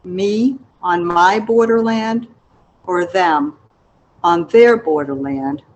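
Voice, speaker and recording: A woman author reading her literary memoir aloud in a measured, reflective manner. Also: lowish voice, highs cut off, a little hiss or hum. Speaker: author reading an excerpt of own book.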